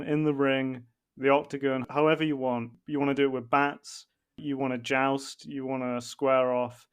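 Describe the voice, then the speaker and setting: A man's voice: medium pitch, clear, resonant tone. A man hosting a podcast in casual chat, ranting comically in a sweary, exasperated way. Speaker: podcast host.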